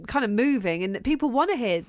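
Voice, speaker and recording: A woman's voice: a little high, animated, fast, no echo, clean studio sound. A woman presenting casually on radio, gushing and encouraging in her delivery.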